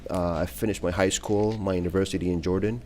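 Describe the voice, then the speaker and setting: A man in his thirties from Iraq speaks as a guest in a casual interview. His voice sits very low and has a dark, mellow tone. He talks haltingly, with frequent fillers and restarts. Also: level tone, slight background noise. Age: 30s. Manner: hesitant, many fillers and restarts.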